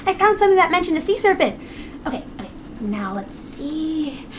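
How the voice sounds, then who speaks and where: Woman as high-voiced, eager, curious teen girl. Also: sing-song, moderate pace, background noise. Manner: chatty, earnest, easily fascinated. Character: bright, curious, eager teenage girl researcher. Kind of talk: audio drama dialogue.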